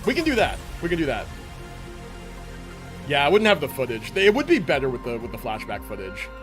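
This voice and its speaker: A man's voice: mid-range voice, huge pitch swings, a little fast, a bit bright, faint music behind. A man hosting a livestream, chatting casually with viewers in an informal way and often repeating phrases for emphasis. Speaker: live streamer host.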